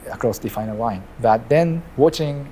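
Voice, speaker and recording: A middle-aged man from Japan with a Japanese accent, an imam telling his life story in an interview, measured and often restarting his phrases. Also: medium pitch, animated, warm tone, a little hiss or hum.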